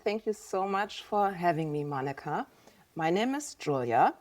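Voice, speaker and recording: A woman's voice: on the low side, lively intonation, moderate pace, darkish tone. German-accented woman in her fifties. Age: 50s. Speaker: guest. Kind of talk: casual interview talk.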